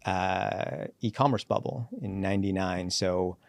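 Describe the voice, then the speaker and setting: A man in his forties, a company CEO born in Sri Lanka and raised in Canada, telling his career story in a relaxed podcast interview and acting out the dialogue he quotes. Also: low voice, no echo. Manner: reflective, brisk storyteller who acts out quoted dialogue.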